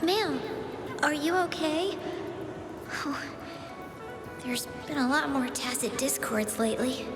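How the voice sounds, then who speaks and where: A woman's voice: sits high, lively intonation, slow pace, long pauses. A woman voicing a young orphaned girl fighter in a video game, earnest and polite, with a light youthful voice. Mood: concerned, stoic. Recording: echoey long reverb with game music.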